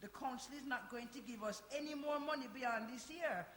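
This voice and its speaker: An actress playing a firm, pragmatic community centre manager in a stage play, insistent and admonishing. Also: female voice, high voice, brisk, a bit bright. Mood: firm, worried. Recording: echoey live hall, faint noise.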